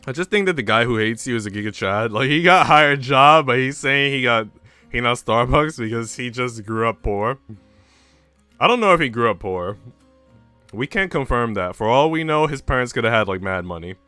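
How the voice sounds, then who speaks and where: A man's voice: medium pitch, lively intonation, normal speed, bright, forceful tone, clear, resonant tone, no room sound. A young American man chatting casually with livestream viewers in slangy, informal banter, swearing freely. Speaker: livestreamer and host. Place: indoor room at home. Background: music.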